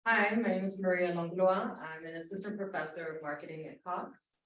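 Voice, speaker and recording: A woman's voice: on the low side, steady tone, a little fast. A young woman, a new marketing professor, introducing herself politely and a little hesitantly. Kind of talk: casual self-introduction. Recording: echoey room from far off.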